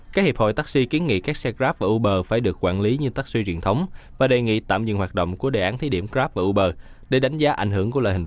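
A man reading scripted news voice-over in a brisk, even newsreader delivery. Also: mid-range voice.

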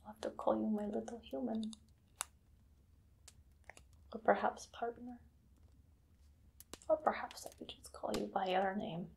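A woman in her thirties whispering as a zealous female vampire hunter in an ASMR roleplay, slow and deliberate. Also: medium pitch, fairly even intonation, gravelly, darkish tone, a little hiss or hum. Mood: hopeful. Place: small dim room indoors.

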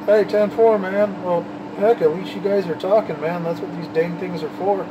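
Man, fairly high voice, folksy slangy CB radio chat, clear background noise. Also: steady tone, normal speed. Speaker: CB radio operator, channel host.

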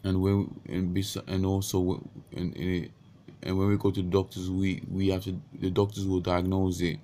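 A man in his thirties with a croaky morning voice, talking unscripted into a webcam in a small bedroom, halting with many restarts and stumbles. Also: sits low, warm tone, slight background noise. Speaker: vlogger. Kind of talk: unscripted casual monologue. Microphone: webcam at close range.

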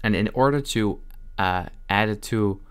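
A man giving a casual software tutorial in a halting, improvised way. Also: on the low side, smooth. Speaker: tutorial host.

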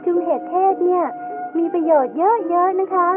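A woman's voice: extremely high pitch, fairly even intonation, moderate pace. A woman playing a curious, polite little girl who asks questions in a childlike way in an educational radio drama. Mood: curious, eager. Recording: tinny band-limited audio with faint music.

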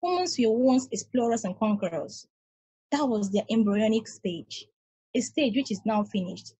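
A young woman reading a weary philosophical space probe, husky. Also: fairly high pitch, normal speed, low-quality recording. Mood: reflective. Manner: calm, reflective monologue. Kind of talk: amateur table read.

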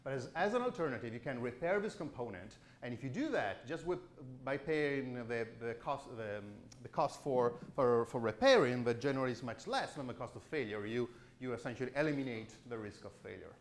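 A man in his forties giving a conference keynote lecture, halting with many fillers and restarts, in a chalkboard lecture room. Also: medium pitch, lively intonation, reverberant. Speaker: keynote speaker.

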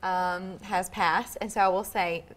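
A woman's voice: on the low side, fairly even intonation, unhurried, a bit bright. A woman hosting a morning TV show, upbeat and prompting her guests in a studio. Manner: upbeat, prompting with many mm-hmms. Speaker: TV host. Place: TV studio set.

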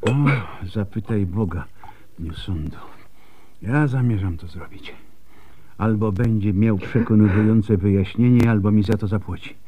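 An actor playing a blunt, world-weary, scarred plague doctor in a radio drama, gruff and emphatic, with bitter resignation. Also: male voice, fairly low pitch, unhurried, mellow, slight background noise, close to an everyday voice. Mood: embittered.

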